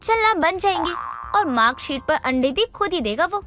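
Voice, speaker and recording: A woman's voice: high-pitched, sing-song, normal speed, sharp tone, faint music behind, heavily acted. A woman playing a vain, touchy young college girl in a cartoon story, slangy and defensive in an exaggerated way. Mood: breezy, defensive. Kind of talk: Hindi animated moral story dialogue.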